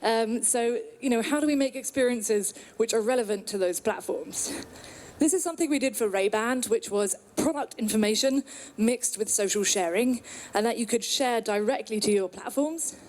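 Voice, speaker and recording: A British woman in her thirties, from the UK, giving an upbeat presentation at a trade conference with some filler pauses. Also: a little high, darkish tone. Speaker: conference speaker. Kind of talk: presentation talk.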